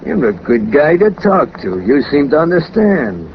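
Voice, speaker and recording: Lonely talkative hitman from a 40s radio show, matter of fact menace, pitch moves a lot, dull old recording. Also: big pitch swings, unhurried, a little hiss or hum. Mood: chatty, coolly menacing. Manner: colloquial, ungrammatical tough-guy talk, matter-of-fact. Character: lonely, chatty, businesslike hired killer, casually menacing. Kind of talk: 1940s radio crime drama acting.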